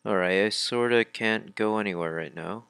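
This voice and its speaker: A man's voice: lowish voice, normal speed, vocal fry, fairly bright tone, smooth, no echo. A man giving casual let's play commentary, reading dialogue aloud and muttering informal asides to himself. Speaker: video game let's player.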